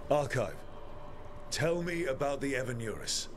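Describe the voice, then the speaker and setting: An actor playing a calm, caring adventurer who leads his party in a video game. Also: mid-range voice, a little slow, noisy background, lightly acted. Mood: inquiring. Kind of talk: video game character dialogue.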